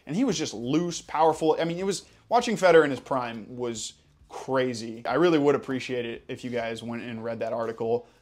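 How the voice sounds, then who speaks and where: A young man with an American accent answers viewer questions in a relaxed vlog. He talks with easy enthusiasm, dropping in casual fillers along the way. His voice sits at an ordinary middle pitch. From Hawaii. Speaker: tennis channel host.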